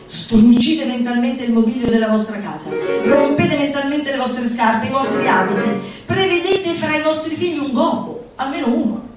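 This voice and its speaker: A woman's voice: sits high, moderate pace, few pauses, over music. A woman stage performer giving a theatrical recitation of a satirical manifesto, declamatory and exhorting with mock-solemn emphasis. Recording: very echoey room, distant mic, faint noise.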